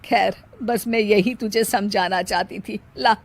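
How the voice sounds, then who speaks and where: A woman's voice: mid-range voice, fairly even intonation, unhurried. A woman voicing a stern older mother-in-law who lectures her daughter-in-law. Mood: scolding. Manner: scolding, lecturing.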